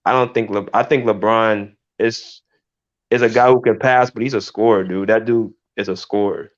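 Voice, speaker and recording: A man hosting a basketball podcast in casual, relaxed livestream chat. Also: on the low side, normal speed. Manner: relaxed, conversational, drawling "man" and "dude". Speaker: podcast host. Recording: muffled lo-fi livestream audio.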